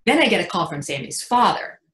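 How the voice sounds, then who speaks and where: A woman's voice: medium pitch, big pitch swings, fairly quick, fairly bright tone. Actress as anxious mother, confiding, echoey room. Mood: worried. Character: anxious, protective mother of a young son, wry and frank. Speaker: actor in a readers theatre performance. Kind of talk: dramatic stage monologue, conversational storytelling.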